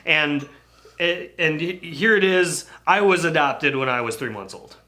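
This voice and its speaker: A man's voice: on the high side, measured pace, pushed and bright. A man in his forties, a co-host in casual chat, explaining things thoughtfully and at length.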